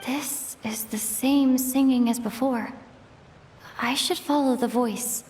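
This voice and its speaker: Game adventurer, female: curious but cautious, slow musing delivery, long cavernous reverb. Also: high-pitched, fairly even intonation, a little mellow. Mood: puzzled. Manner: hesitant, thinking aloud to herself. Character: wary, curious young female adventurer exploring a cave. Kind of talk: video game voice acting. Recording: long reverb, music underneath. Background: game music.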